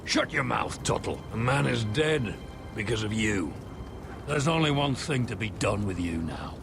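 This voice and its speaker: An actor playing a harsh, menacing older officer in a video game drama, commanding and contemptuous. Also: male voice, fairly low pitch, lively intonation, measured pace, creaky. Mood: menacing, accusing. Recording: noisy game audio with ambience.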